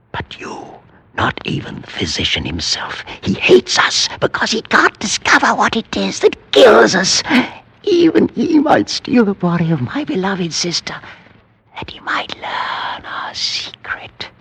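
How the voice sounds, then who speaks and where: A tormented gothic aristocrat on the edge of madness, in old radio drama style. He draws his words out slowly and broods theatrically, with a feverish edge. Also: high voice, huge pitch swings, vocal fry, bright, forceful tone, husky, whispered, heavily acted. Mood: paranoid. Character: tormented, half-mad last heir of an accursed house. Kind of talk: classic radio drama acting.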